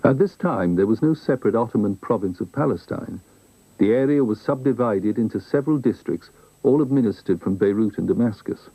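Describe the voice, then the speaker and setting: A man reading scripted documentary narration in a measured, formal manner. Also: fairly low pitch, lively intonation, mellow, husky, dry recording, poor audio.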